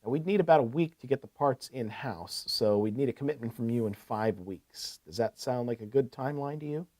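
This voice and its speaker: A man's voice: medium pitch, brisk, mellow, close to an everyday voice. A man playing a courteous, attentive equipment salesman in a scripted business phone call, asking probing questions. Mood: businesslike, helpful. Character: courteous, eager male heavy-equipment salesman.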